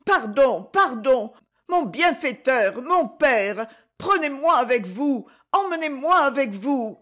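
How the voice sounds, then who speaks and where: A scheming, fawning boy begs with gushing cries, voiced by a woman reading the story. The pitch sits well above her own and leaps about. It is a storybook reading. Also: big pitch swings, a little slow. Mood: pleading. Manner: pleading, gushing.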